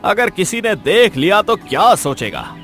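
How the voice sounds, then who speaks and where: A man's voice: sits high, sing-song, measured pace, fairly bright tone, faint music behind, full character voice. A man voicing a concerned, respectful royal minister in a cartoon moral story.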